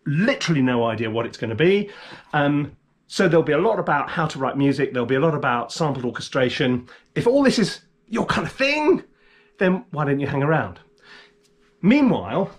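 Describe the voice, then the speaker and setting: A man's voice: on the high side, slightly rough. A British man presenting a casual, chatty tutorial with jokey, theatrical asides, often interrupting himself. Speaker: composer reviewing music software, presenter.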